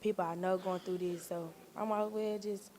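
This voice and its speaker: A woman's voice: on the low side, fairly even intonation, normal speed, dark tone. A woman actor answering interview questions in casual, laid-back, colloquial slang. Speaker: young stage play actor being interviewed.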